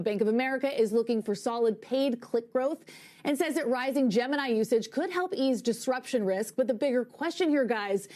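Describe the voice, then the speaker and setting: A woman's voice: sits high, a little mellow. A woman delivering a scripted business news report for broadcast, as a reporter.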